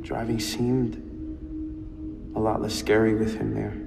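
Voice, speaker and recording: An actor playing a grieving young man in an audio drama, speaking earnestly and haltingly as he confesses his love. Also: fairly low pitch, level tone, vocal fry, noisy background. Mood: nervous, fond. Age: young adult. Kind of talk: audio drama acting, halting heartfelt speech. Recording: echoey hall reverb, music behind.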